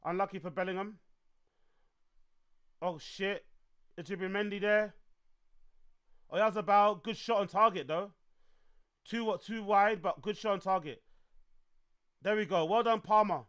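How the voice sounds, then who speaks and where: A man reacting live to a football match, excitable and yelling and swearing at the screen. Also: high-pitched, measured pace, long pauses, smooth. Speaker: streamer host.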